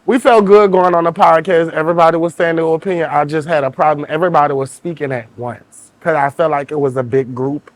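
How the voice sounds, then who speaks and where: A man, reflecting calmly in a reality show confessional. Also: fairly high pitch, fast, hardly any pauses, a little hiss or hum, poor audio. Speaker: reality show cast member. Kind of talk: confessional recounting.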